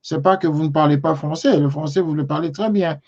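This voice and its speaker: A man hosting a TV talk show, speaking in a polite, measured way with frequent hesitations. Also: a little high, fairly even intonation, creaky, a little mellow, muffled recording. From Congo. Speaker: TV show host.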